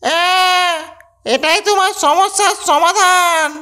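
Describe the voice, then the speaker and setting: A woman's voice: very high-pitched, slow pace, voice pushed up, exaggerated voice. A woman voicing a sly, eager young male friend in a cartoon comedy. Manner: excited, wheedling. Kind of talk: cartoon voice acting.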